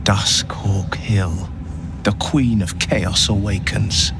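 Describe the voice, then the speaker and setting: A man's voice: on the low side, steady tone, slow pace. A man playing a brave, haunted young warlock nobleman in a game, telling his tale in a solemn, earnest way. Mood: grave, recounting. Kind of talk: dramatic game dialogue, storytelling. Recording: dramatic music loud behind the voice. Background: loud cinematic music.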